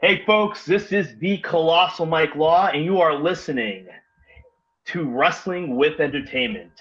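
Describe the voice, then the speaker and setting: A man giving a loud, punchy promo shout-out for the show. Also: fairly high pitch, measured pace, highs cut off. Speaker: guest promo announcer.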